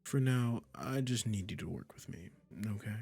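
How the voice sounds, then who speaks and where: A man's voice: lowish voice, normal speed, gravelly, mellow. A man playing a possessive, sweetly menacing male witch in an intimate roleplay audio drama, coaxing and condescending with controlling endearments. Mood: coaxing, insistent.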